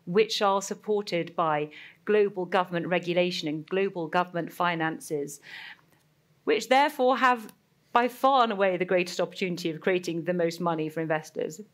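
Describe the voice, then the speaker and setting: Woman speaking on a finance panel. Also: lowish voice. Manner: measured, emphatic phrasing in long sentences. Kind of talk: conference panel talk. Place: conference hall.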